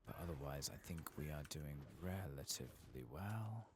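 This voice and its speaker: A man softly murmuring casual, unhurried ASMR commentary over a video game, sometimes whispering, close to the microphone. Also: very deep voice, lively intonation, background noise. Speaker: gaming ASMR streamer.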